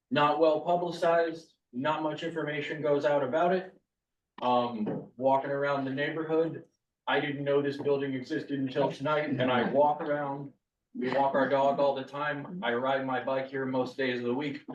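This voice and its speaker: A man's voice: mid-range voice, slight background noise. A man speaking bluntly during public comment, his frustration building as he makes his points. He is heard from a distance in an echoey meeting room, with a dull, muffled sound. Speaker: public commenter, local resident.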